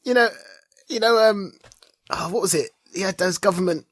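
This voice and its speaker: Husky middle-aged British man, chatting casually. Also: high-pitched, moderate pace, a bit bright, dry recording, studio quality. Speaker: podcast co-host. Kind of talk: casual chat.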